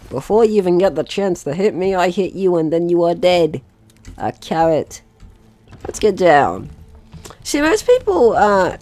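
A man's voice: high-pitched, darkish tone. A man giving casual let's play commentary, chatty and jokey, talking to himself and the game's enemies. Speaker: gaming channel host.

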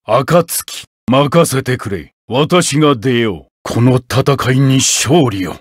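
An actor voicing a stern, honorable armored knight in a fighting game, with a gravelly, husky voice and a solemn, commanding manner. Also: male voice, medium pitch, animated, slow, no room sound, clean studio sound. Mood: solemn, resolute. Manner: solemn, commanding, forceful battle shouts. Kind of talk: Japanese fighting game character voice acting.

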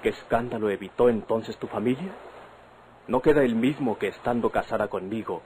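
An actor with a Mexican accent playing an earnest young husband, a bandit's son, persuading his wife in measured, formal radio-drama diction. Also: on the low side, darkish tone, natural voice. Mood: insistent. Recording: noisy vintage radio drama recording.

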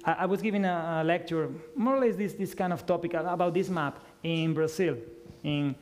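A man in his thirties answering audience questions at a talk, speaking haltingly with many restarts into a headset mic in a lecture room. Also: a little high, darkish tone. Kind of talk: conference Q&A.

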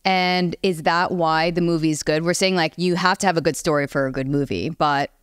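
A woman's voice: deep voice, fairly even intonation, normal speed, clean tone, dry recording, studio quality. A woman speaking earnestly and emphatically as a panelist in a casual chat. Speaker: podcast panelist discussing films and games.

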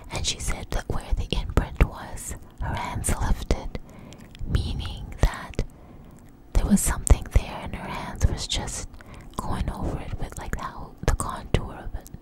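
A woman telling a story in a soft, breathy ASMR whisper, close to the mic, in a conversational, hesitant way. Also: unhurried, low-quality recording.

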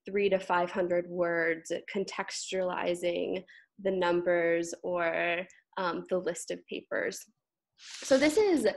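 Young woman explaining on a panel. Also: mid-range voice. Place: indoors, on a group video call. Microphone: video call.

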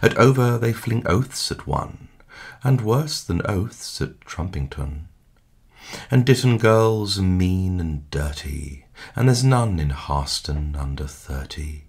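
A man giving a dramatic poetry recitation in a measured, expressive way, with hushed line endings. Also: deep voice, creaky, slightly rough. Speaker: poetry reader. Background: music.

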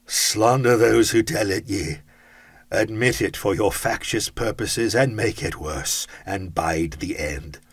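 A man's voice: on the low side, takes it slowly, slightly rough, dry recording, heavily acted. Man doing a solemn, grave Christmas spirit. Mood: stern. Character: aging male Christmas spirit, solemn and sorrowful.

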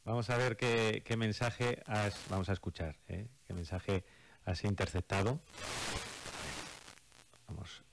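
A man's voice: lowish voice, fairly even intonation, a little slow, vocal fry, pauses often, noisy background. A man hosting a conversational radio show. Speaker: radio host. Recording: FM radio reception with music behind. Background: music and played radio clips.

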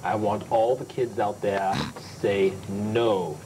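A dopey, simple puppet, voiced by a man talks slowly and low on an amateur children's show. The sound is noisy old videotape. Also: steady tone, darkish tone. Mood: earnest. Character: slow dopey male puppet on a kids' Bible show.

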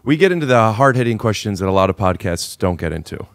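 Loud deadpan man hosting a podcast. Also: on the low side, quick pace, dry recording. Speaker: podcast host. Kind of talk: casual chat. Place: living room, indoors. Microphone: studio mic on boom arm, headphones.

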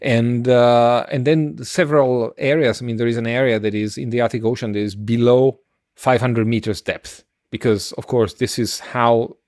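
A middle-aged man co-hosting a science podcast, explaining casually and haltingly, often circling back to rephrase. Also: medium pitch, fairly even intonation, a little mellow, dry recording, clean studio sound. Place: indoor room on a video call. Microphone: close desk microphone, headset.

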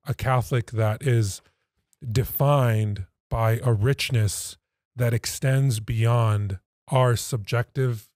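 A man in his thirties, a podcast guest explaining things conversationally in measured, emphatic phrases. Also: medium pitch, fairly even intonation, a little mellow, no echo, studio quality. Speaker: podcast guest, pastoral associate. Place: small indoor podcast studio. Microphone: close studio mic on stand.

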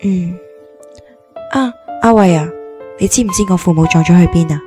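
A woman playing a gentle, downcast young woman with amnesia in an audio drama. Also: on the low side, normal speed, music in the background, close to an everyday voice. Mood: wistful.

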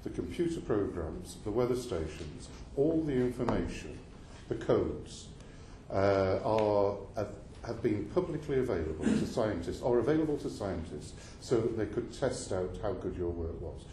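A man presses a witness with pointed questions, his voice low-pitched and mellow. He keeps tripping over his words and restarting, never letting go of the point. The room is large and echoey, with a faint hiss underneath. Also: sing-song, moderate pace. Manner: pressing, insistent, stumbles and restarts. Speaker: committee member questioning a witness. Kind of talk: parliamentary committee questioning. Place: parliamentary committee room. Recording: roomy hall sound with faint noise.

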